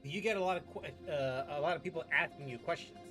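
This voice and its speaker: Gamer dude reacting to a playthrough, slightly high voice, sweary wisecracks, game music behind him. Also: male voice, fast talker, a bit bright. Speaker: video game streamer. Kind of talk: casual playthrough commentary, reading and reacting.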